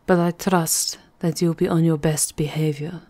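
A woman's voice: deep voice, steady tone, moderate pace, warm tone. A woman voicing a seductive, possessive vampire countess in an intimate, aristocratic manner for an ASMR roleplay. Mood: gently commanding.

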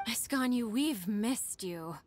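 Adoring, effusive young secretary. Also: female voice, on the high side, expressive, slow pace, a little mellow, a little hiss or hum. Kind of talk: animated video game voice acting.

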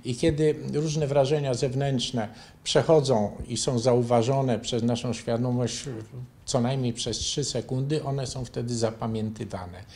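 An older man from Poland answering interview questions in a measured, didactic, explanatory way. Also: mid-range voice, steady tone, warm tone. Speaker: interview guest, bioenergy therapy teacher and author. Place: furnished living room, indoors.

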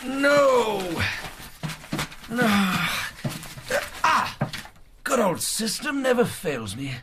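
Casting: cheery, colloquial office clerk, high voice, unhurried, radio play with faint noise. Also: male voice, big pitch swings, full character voice. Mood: cheerful, absorbed. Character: chatty, obliging male clerk searching his files. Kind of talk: British radio drama acting.

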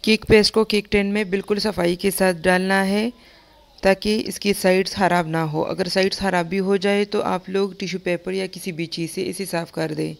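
A young woman host giving a calm, step-by-step recipe voice-over for her home cooking channel. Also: medium pitch, moderate pace, creaky. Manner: calm, instructive, repeats steps plainly.

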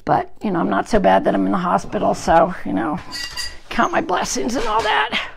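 A hoarse woman groomer with a gravelly voice, chatting to live-stream viewers while trimming a dog in a grooming salon, recorded on a phone. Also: medium pitch, sing-song, normal speed, a crowd in the background. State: hoarse from an illness. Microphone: phone camera propped at the grooming table.

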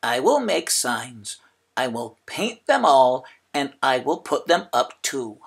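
A man voicing a fussy, earnest little sign painter in a children's storybook, with drawn-out exclamations. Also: mid-range voice, sing-song, creaky, breathy edge, heavily acted. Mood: eager.

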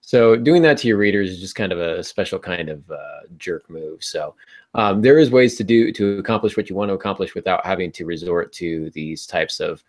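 A man's voice: low voice, brisk. A middle-aged man hosting a casual discussion over a video call, informal and given to joking asides. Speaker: podcast host. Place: home office indoors, video call.

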